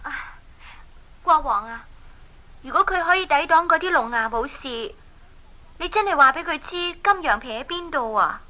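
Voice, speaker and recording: A woman's voice: high-pitched, expressive, moderate pace, long pauses, pushed and bright. A woman with a Hong Kong accent playing an earnest, protective young princess in a children's radio drama. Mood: concerned.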